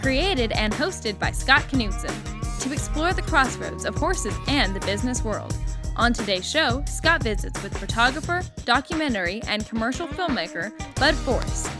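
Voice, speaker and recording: A woman's voice: on the high side, lively intonation, moderate pace. A woman reading a scripted show introduction in an upbeat, emphatic announcer manner. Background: music.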